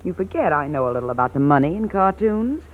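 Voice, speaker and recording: An actress playing a cool, mocking, greedy blackmailer in a vintage radio drama, insinuating and controlling. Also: female voice, deep voice, sing-song, fast. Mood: smug, demanding. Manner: taunting, insinuating. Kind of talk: 1940s-50s radio drama acting. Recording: tinny old radio recording.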